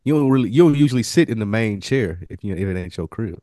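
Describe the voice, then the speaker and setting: Male co-host, somewhat low pitch, dry recording, casual slangy conversation. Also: animated, quick pace, dark tone, dry recording. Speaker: podcast co-host. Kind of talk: casual TV review chat.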